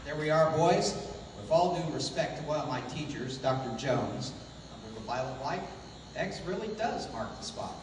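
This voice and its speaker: A man's voice: on the high side, animated, lightly acted. Man playing commanding pulp hero in echoing hall. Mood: confident, instructive. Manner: formal, measured, declamatory. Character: commanding, idealistic pulp adventure hero, a man, calm leader. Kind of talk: 1930s radio adventure acting. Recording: echoey hall from far off, background noise.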